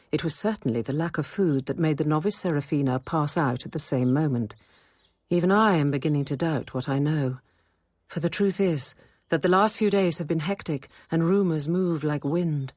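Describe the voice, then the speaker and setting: An actress playing a wry, sceptical nun who narrates a radio drama in a measured, intimate first-person manner. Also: female voice, sits low, no echo. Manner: measured, intimate, quietly dry.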